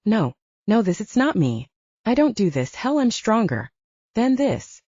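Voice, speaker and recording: A woman's voice: a little high, sing-song, unhurried, warm tone, husky, no room sound, voice pushed up, exaggerated voice. A woman voicing a tough but distressed young female soldier in an audiobook, demanding and emotional, cursing as she talks. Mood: distressed, angry. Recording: dull lo-fi audio, dry.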